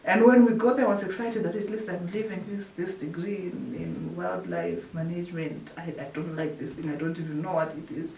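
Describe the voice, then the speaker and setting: Woman, halting, echoey distant mic. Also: fairly low pitch, fairly even intonation, gravelly, darkish tone. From Zimbabwe. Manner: halting, often restarts phrases. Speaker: researcher telling her story. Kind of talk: casual interview storytelling. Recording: echoey room, distant mic, faint hiss.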